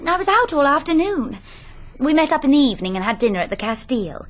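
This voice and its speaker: A woman's voice: sits high, sing-song, fast. An English woman in a British radio drama playing a scatterbrained, breezily greedy young wife, artless and chatty. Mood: breezy, apologetic.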